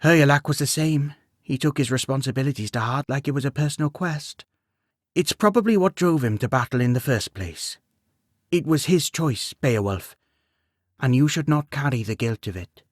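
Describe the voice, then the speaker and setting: A man voicing a grieving, shrewd and kindly widowed queen, speaking with gentle but firm persuasion. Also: medium pitch, animated, normal speed, no room sound, studio quality, voice pushed up, close to an everyday voice. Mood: persuasive.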